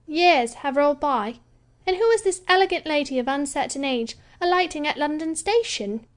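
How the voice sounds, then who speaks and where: A woman's voice: high voice, lively intonation, normal speed, voice pushed up. A woman voicing an earnest, motherly girl who tells a story with theatrical flair. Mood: storytelling. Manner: theatrical, sing-song telling.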